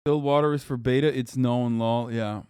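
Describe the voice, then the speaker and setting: A man's voice: mid-range voice, steady tone, moderate pace, mellow, clear, resonant tone, dry recording, clean studio sound. A man in his thirties streaming live, chatting casually and bluntly with his chat, swearing often. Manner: profane, blunt, joking with chat. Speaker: streamer reacting to videos.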